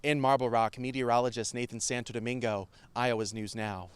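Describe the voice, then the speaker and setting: A man's voice: mid-range voice, fairly even intonation, a little fast, clean tone. An American man narrating a scripted TV news report as a weather reporter. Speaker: TV meteorologist and field reporter.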